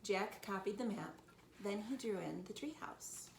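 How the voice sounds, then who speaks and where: Creaky-voiced woman reading to young children. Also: mid-range voice, expressive, a little slow. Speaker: teacher reading to first graders. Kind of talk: children's storybook read-aloud. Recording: echoey room, background noise.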